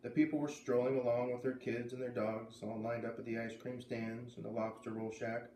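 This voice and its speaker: A man's voice: medium pitch, little pitch movement, a little fast, mellow. Male reader in his thirties for read-aloud narration; living-room echo. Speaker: storyteller reading a children's novel aloud. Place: living room indoors by a fireplace. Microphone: camera a few feet away. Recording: roomy camera sound, faint noise.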